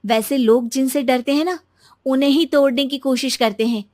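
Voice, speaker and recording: A woman voices a confident young wife in a cartoon family drama. Her fairly high voice reasons earnestly and persuades gently, recorded dry and close. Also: steady tone, moderate pace, no room sound, natural voice. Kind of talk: Hindi cartoon story dubbing.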